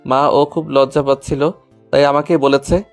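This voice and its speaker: A man's voice: medium pitch, level tone, moderate pace, soft background music, lightly acted. A man playing a lovestruck, evasive younger brother, an eager young man in an audio story. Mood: pleading. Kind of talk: Bengali audio story acting.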